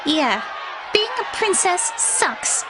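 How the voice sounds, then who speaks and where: A woman's voice: very high voice, sing-song, takes it slowly, heavily acted, low-quality recording. A woman voicing a wry, uneasy female pony in a cartoon audio drama, in a dry, awkward manner. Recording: music and crowd noise under the voice.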